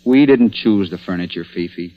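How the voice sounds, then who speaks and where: A man's voice: low-pitched, moderate pace, natural voice. An actor playing a stubborn, exasperated man about town in a 1940s-style radio crime drama, speaking in a clipped, dramatic manner. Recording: dull old-time radio recording.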